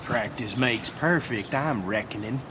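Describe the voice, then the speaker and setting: A man's voice: mid-range voice, background noise, close to an everyday voice. An actor playing a dim, folksy American cowboy henchman with a drawling Southern accent in a video game. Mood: folksy, unhurried. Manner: drawling, folksy, slow-witted. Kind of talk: video game cutscene acting.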